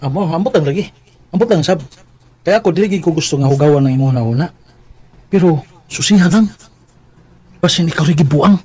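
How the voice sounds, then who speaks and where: A man's voice: a little high, a little fast, long pauses, dark tone, breathy edge. A man playing a chatty, teasing best buddy in a radio drama, speaking casually with a Cebuano Filipino accent. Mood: cautioning.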